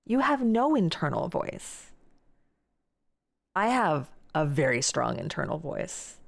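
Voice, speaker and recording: A woman's voice: low voice, sing-song, slow, gravelly. A young woman streaming live, chatting casually and reading out and answering viewer messages. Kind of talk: casual chat reading chat aloud.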